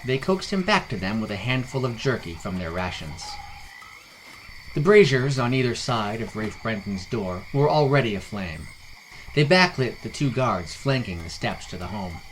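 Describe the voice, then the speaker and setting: A man's voice: fairly low pitch, very animated, normal speed, a bit bright, a little hiss or hum, low-quality recording. A man narrating a scripted fantasy story as a podcast narrator, reading expressively. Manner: reads character dialogue expressively.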